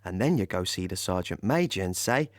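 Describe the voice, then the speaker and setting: An actor playing a cheerful working-class English army truck driver in an audio play, chatty and rambling in colloquial speech. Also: male voice, low-pitched, expressive, fairly quick, no room sound, studio quality.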